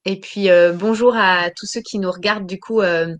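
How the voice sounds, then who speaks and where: A woman's voice: mid-range voice, steady tone, normal speed. Hesitant woman guest, lots of fillers. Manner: hesitant, many fillers and restarts. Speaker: business coach, guest. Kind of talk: interview answers.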